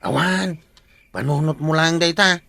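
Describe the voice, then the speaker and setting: A man's voice: on the high side, unhurried, gravelly, bright, forceful tone, full character voice. A man playing a skeptical, teasing village watchman in an Ilocano comedy radio drama, with an Ilocano accent. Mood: dismissive.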